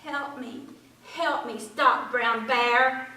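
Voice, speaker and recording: A woman voicing a frantic little female rabbit who keeps shouting her plea for help. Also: extremely slow, full character voice. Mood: pleading, shrill. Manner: shrill, urgent, repeating herself. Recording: echoey room from far off.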